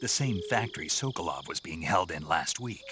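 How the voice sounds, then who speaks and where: A man voicing a gruff, laconic middle-aged special forces operative in a video game, with a gravelly voice. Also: sits low, animated, normal speed, noisy background. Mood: terse, resolute. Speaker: field agent taking a briefing.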